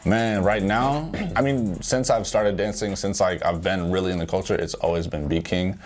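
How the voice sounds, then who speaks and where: A man's voice: sits low, moderate pace. A young man from Houston talking casually as an interview guest, loose and full of slang and "like". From Houston, Texas. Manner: relaxed, heavy use of "like" and slang. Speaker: guest, dancer. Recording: music playing behind.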